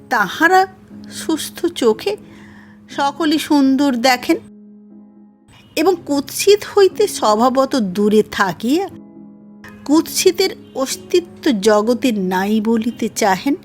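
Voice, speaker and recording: A woman narrating a formal literary essay in a measured, scripted audiobook style. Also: high-pitched.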